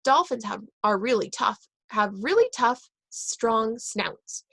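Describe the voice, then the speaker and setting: A woman reading a children's book aloud, pausing and correcting herself now and then. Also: a little high, expressive, creaky, fairly bright tone, no room sound. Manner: reads aloud with pauses and self-corrections. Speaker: reader.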